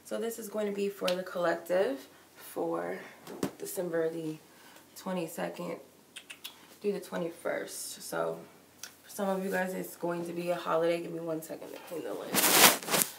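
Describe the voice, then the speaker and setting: A woman's voice: lowish voice, expressive, moderate pace, creaky. A woman with a cold and a stuffy nose, giving a casual live-streamed tarot reading in a chatty, loose way full of likes and ums. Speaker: tarot reader. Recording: echoey room with noise.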